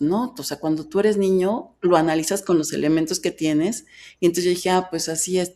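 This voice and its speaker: Mexican woman guest, middle-aged, low mellow voice, reflective and candid storytelling. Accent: Mexican Spanish. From Veracruz, Mexico. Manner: reflective, candid, speaks in long flowing stretches. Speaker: guest, writer and foundation president. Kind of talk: personal storytelling in an interview.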